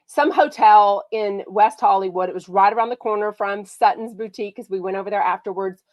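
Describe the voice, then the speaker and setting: A woman from Texas, hosting a casual gossip livestream. She has a slight cold that makes her sound nasal, and she talks in a bubbly, excitable way. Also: mid-range voice, a little fast, no echo, highs cut off. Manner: bubbly and excitable, lots of "like" and "oh my gosh". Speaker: podcast host.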